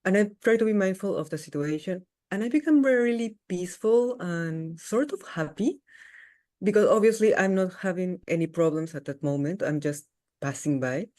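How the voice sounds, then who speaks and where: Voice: medium pitch, normal speed, a little mellow. A caller on a video call describing worries at length, laughing often and ending sentences with tag questions.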